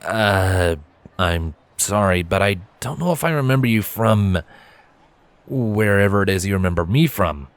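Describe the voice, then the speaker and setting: A man voicing a gentle, bookish young male pony who is awkward and reassuring, in a storybook reading. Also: fairly low pitch, expressive, measured pace, dry recording. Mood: hesitant.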